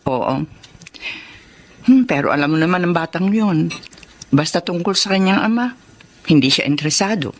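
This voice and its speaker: An actress playing a kind, patient middle-aged mother and wife in a radio drama, gentle and sincere. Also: fairly low pitch, animated, moderate pace, long pauses. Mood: caring. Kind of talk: Tagalog radio drama narration and acting.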